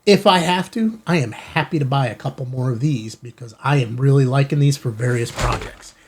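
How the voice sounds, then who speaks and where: A man's voice: mid-range voice, fairly quick, noisy background. An American man hosting a ham radio channel, chatting informally and casually to viewers as he shows off gear. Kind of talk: casual product unboxing chat to viewers. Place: indoor studio in an office building.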